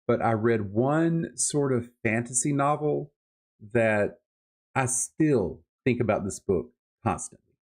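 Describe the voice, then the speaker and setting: A man's voice: mid-range voice, animated, slow, darkish tone. A man chatting casually and emphatically about books as a podcast host, with enthusiastic, colloquial delivery. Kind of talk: casual book-review chat.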